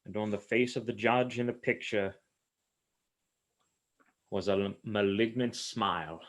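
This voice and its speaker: Man acting a slow, grave gothic horror narrator. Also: on the low side, fairly even intonation, voice pushed down, close to an everyday voice. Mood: grim, horrified. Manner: slow, solemn, dramatic. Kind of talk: radio play horror narration.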